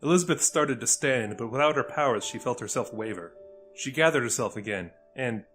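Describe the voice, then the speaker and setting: A man's voice: medium pitch, lively intonation, brisk, fairly bright tone. A man narrating a scripted dramatic story as the narrator. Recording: music under the voice.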